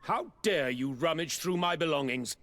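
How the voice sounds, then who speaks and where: A man's voice: on the high side, expressive, normal speed, a bit bright. A man playing an indignant, defensive, formal merchant in a video game. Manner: affronted, formal. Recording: long echo of a large room.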